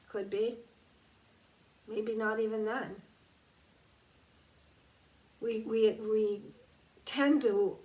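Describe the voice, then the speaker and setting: A woman gives a reflective spiritual talk and leans on key words at an unhurried pace. Her voice sits a little away from the microphone. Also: mid-range voice, a little mellow, recorded from a distance, rough recording. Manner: slow, emphatic and conversational, drawing out key words. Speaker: spiritual teacher.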